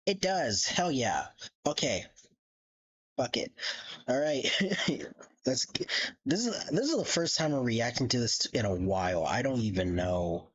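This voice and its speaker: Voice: medium pitch, sing-song, a little slow, long pauses, husky, muffled recording. A live streamer chatting casually in a rambling, giggly way and swearing freely. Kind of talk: casual livestream chat.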